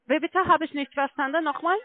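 A woman speaking as a non-native call centre agent on the phone, halting and insistent, in broken sentences. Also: sits high, steady tone, dry recording. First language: not German. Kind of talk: unscripted phone conversation. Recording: muffled narrow phone line.

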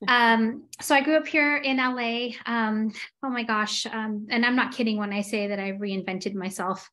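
A woman's voice: on the high side, steady tone, moderate pace, a bit bright. A woman in her forties from Los Angeles, a guest entrepreneur chatting casually in an interview over a video call, with frequent "um" fillers. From Los Angeles, USA. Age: 40s. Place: home room with window blinds.

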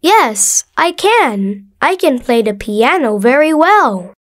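A woman voicing a cheerful young schoolboy in a scripted textbook dialogue, speaking slowly and clearly. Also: high-pitched, very animated, heavily acted. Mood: curious. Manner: slow, clear, bright child-like delivery.

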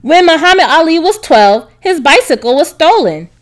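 A woman narrating a children's storybook, reading aloud expressively with clear enunciation. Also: high-pitched, very animated, normal speed. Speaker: narrator, read-aloud reader.

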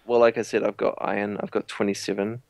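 Young man, New Zealand accent, low gravelly voice, dry sound, laid-back joker. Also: little pitch movement, fast talker, a little mellow, no echo. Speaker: gamer, co-host. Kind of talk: casual let's play chat.

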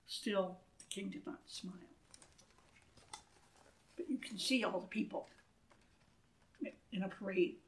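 A woman in her sixties reading a children's story aloud in an expressive, deliberate way, with asides to listeners, recorded indoors a few feet from the camera. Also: medium pitch, low-quality recording. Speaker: storybook reader. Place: wood-panelled church room, indoors. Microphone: camera a few feet away.

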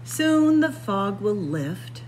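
A woman hosting a story time, reading a picture book to young children in a sing-song way with drawn-out phrases and dramatic pauses. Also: mid-range voice, very animated, darkish tone, slight background noise. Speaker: library story time host. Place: indoors among library bookshelves.

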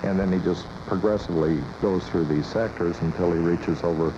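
An American man, an air traffic controller, explaining his work as an interview guest in a measured, matter-of-fact way. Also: sits low, steady tone. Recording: muffled 1980s AM radio cassette, loud noise.

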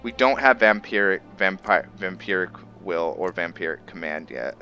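A man giving casual commentary on his roleplay playthrough, haltingly thinking aloud as he goes. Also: deep voice, lively intonation, pushed and bright, highs cut off, soft background music. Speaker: gamer narrating a roleplay playthrough.

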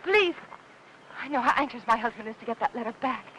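A woman's voice: fairly high pitch, animated, quick pace, a bit bright, slight background noise, poor audio. A woman with an American accent acting an anxious, pleading housewife in a 1950s noir film. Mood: desperate, pleading. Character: anxious, pleading young suburban housewife in trouble. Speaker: lead actress in a feature film. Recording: old film soundtrack with score.

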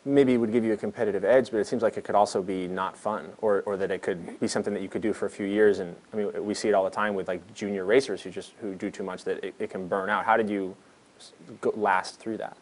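A man's voice: fairly low pitch, steady tone, quick pace. A man in his thirties hosting a show, asking friendly, chatty interview questions in a small studio.

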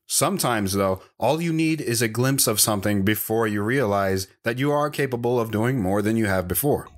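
A man's voice: on the low side, animated, lightly acted. Man playing a reserved, philosophical drifter. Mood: wistful. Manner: measured, reflective, reserved. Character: world-weary, philosophical wandering man, polite and cryptic. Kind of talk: audio drama acting.

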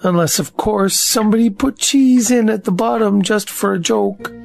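Dreamy honey-loving bear, slow and musing, voiced by a woman, light music behind. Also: medium pitch, low-quality recording. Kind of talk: audio drama acting.

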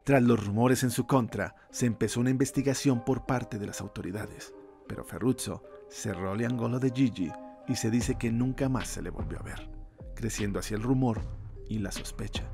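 A man reading a scripted horror story as narrator, slow and ominous, dropping his voice low on the grim lines. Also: vocal fry, warm tone, faint music behind.

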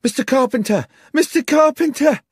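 A hysterical young village woman, as a male narrator plays her, pitched far up and breathless with alarm. She is a storybook figure bringing dreadful news, in a close, dry recording. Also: normal speed, dry recording. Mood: screaming panic.

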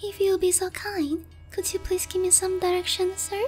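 Woman voicing a very high-pitched, timid harpy girl. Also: completely flat delivery, normal speed, darkish tone, soft background music. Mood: shy, apologetic. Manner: timid, hesitant, polite, airheaded. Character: naive, sheltered, polite young female harpy. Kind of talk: ASMR roleplay, addressed to one listener.

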